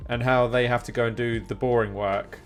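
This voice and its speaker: A man's voice: medium pitch, steady tone, fairly quick, faint music behind. A man live streaming a game, chatting casually in a rambling, jokey way. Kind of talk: casual gaming chat.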